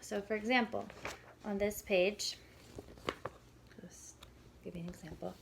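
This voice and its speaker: A woman's voice: mid-range voice, steady tone, unhurried, gravelly, a little hiss or hum, poor audio. A woman speaking as a parenting educator, giving an informal, conversational lesson and explaining as she goes. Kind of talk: informal instructional talk with demonstrated questions.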